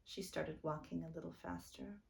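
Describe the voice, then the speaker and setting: A woman reading a children's book aloud as a storytime narrator, expressive and deliberate. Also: low voice, gravelly. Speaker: storytime reader.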